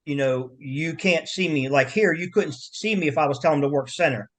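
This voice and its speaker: An older man, a longtime wrestling referee, explains his calls in casual talk. His voice sits slightly high for a man, and his answers come in halting bursts full of "you know"s and restarted words. He describes his voice as loud and carrying. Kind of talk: casual interview.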